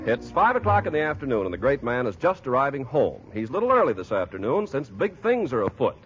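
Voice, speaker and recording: A man's voice: medium pitch, very animated, quick pace, music in the background. An American man announcing on 1940s radio with polished, emphatic salesmanship, on an old muffled recording.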